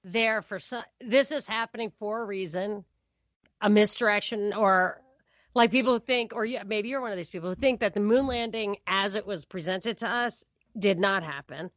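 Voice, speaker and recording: A woman's voice: mid-range voice, moderate pace, a bit bright, dry recording, dull audio. A middle-aged woman co-hosting a podcast, chatting casually and giving her opinions. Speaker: podcast co-host.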